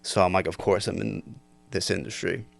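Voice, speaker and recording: A man's voice: deep voice, steady tone, normal speed, vocal fry, dry recording. A young man in his twenties telling stories on a casual podcast, loose and laughing with lots of fillers. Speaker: podcast guest. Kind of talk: casual storytelling chat.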